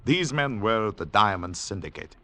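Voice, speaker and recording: An actor playing a haunted secret agent on the run, narrating in dramatic, deliberate, clipped phrases in 1940s radio thriller style. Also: male voice, fairly low pitch, big pitch swings, vocal fry, pushed and bright, heavily acted. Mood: urgent, controlled. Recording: noisy 1940s radio recording with clicks.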